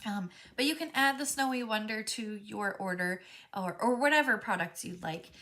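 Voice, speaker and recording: Stuffy-nosed woman with a bright voice. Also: mid-range voice, moderate pace. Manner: chatty, friendly, frequent ums. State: stuffy, froggy-voiced from a cold. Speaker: craft instructor. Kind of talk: live step-by-step crafting class.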